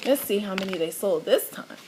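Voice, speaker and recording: A woman reading a children's picture book aloud, animated and teacherly, pausing to explain the pictures. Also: medium pitch, a little hiss or hum, low-quality recording.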